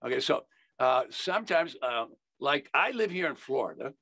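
A man's voice: medium pitch, big pitch swings, normal speed, sharp tone, no room sound. An older American man teaching in an emphatic, folksy sales style, repeating key phrases on a video call. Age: older, 70s. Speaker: investing educator. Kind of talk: instructional sales talk.

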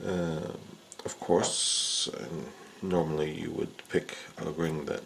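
A man's voice: extremely low pitch, little pitch movement, slow, creaky, a little hiss or hum. A man giving a casual how-to demonstration of repairing a fishing rod, talking unhurriedly with frequent filler sounds as he works at an indoor bench. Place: indoors at a workbench.

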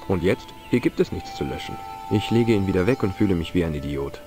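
A man's voice: deep voice, lively intonation, fairly quick. A man playing an easygoing, curious young amateur investigator in an adventure game, conversational and slightly wry. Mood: observing, wry. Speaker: game protagonist and narrator. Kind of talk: adventure game voice acting, German dub. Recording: game music under the voice.

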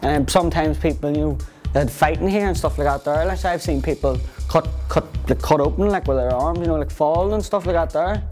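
A man's voice: a little high, brisk, darkish tone, soft background music. A young man, rambling through a documentary interview with many fillers. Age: young adult.

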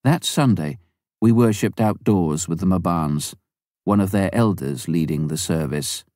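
A man reading a memoir aloud in a measured, unhurried manner. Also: low voice, darkish tone, dry recording, clean studio sound. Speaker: audiobook reader. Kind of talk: scripted memoir narration.

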